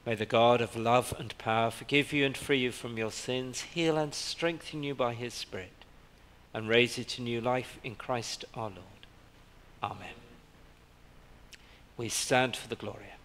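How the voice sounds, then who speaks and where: A man leading prayers as a priest, reading liturgy in a slow, measured way from a lectern in a church. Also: medium pitch, fairly bright tone. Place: church interior with stained glass. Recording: reverberant church captured from afar with faint background hiss.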